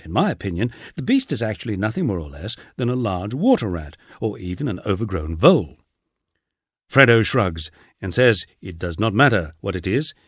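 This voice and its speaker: A man's voice: low-pitched, husky, no echo, clean studio sound. A man narrating a humorous memoir as a scripted audiobook reading.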